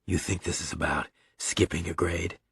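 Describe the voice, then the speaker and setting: A man voicing a stern, laconic military father in an audiobook, curt, quiet and deliberate. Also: low-pitched, fairly even intonation, gravelly, breathy edge, no echo, clean studio sound, heavily acted. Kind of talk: audiobook character dialogue.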